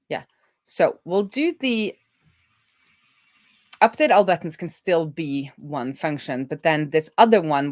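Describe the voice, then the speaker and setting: Low-voiced woman thinking aloud while coding. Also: no room sound, clean studio sound. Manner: halting, trailing off mid-thought and self-correcting. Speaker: developer hosting a live coding stream.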